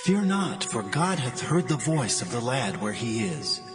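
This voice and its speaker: A man voicing a solemn angel calling from heaven, calm and reassuring, with a reverb effect. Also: mid-range voice, measured pace. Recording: long reverb with music behind.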